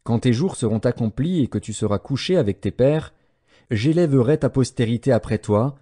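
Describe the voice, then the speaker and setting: Male narrator for scripture audiobook: mid-range, mellow tone, solemn and measured phrasing, with highly varied intonation. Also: animated.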